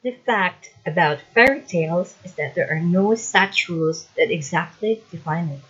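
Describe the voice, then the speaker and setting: A woman's voice: fairly low pitch, normal speed, fairly bright tone, husky. A woman student presenter reads out a scripted lesson on a slightly dull recording.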